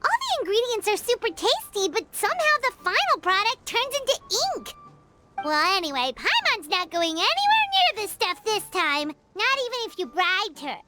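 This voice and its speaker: A woman voicing a tiny, excitable female fairy companion in a video game, who talks about herself in the third person with loud exclamations. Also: very high voice, moderate pace. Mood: chatty. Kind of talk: video game cutscene voice acting. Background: loud game music.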